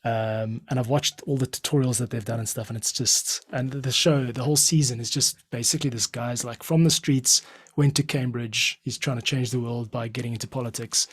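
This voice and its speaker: Male podcast host, easygoing and slangy, medium-pitched everyday voice. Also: steady tone, fairly quick. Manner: laid-back, slangy, says dude and cool. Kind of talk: casual chat.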